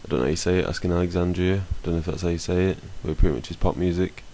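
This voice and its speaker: A man's voice: deep voice, fairly even intonation, racing, mellow. A young man co-hosting a casual podcast, sounding nasal from a cold, talking in a dry, flippant, offhand way. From England. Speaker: podcast co-host. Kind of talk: casual chat. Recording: faint hiss.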